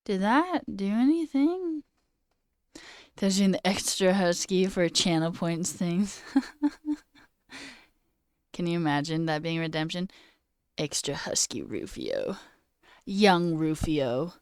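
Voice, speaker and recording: A woman's voice: lowish voice, sing-song, takes it slowly, long pauses, a little mellow, clear, resonant tone, dry recording, clean studio sound. A young woman with a slight sore throat, casually chatting on a live coding stream, reading chat aloud and muttering at her code. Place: small room indoors.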